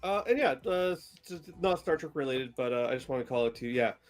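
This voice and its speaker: A man's voice: mid-range voice, lively intonation, soft background music. A man in his forties, a native French speaker, co-hosting a casual chat who stumbles and repeats words as he talks. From French-speaking upbringing. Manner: halting, repeats words and restarts. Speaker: podcast co-host.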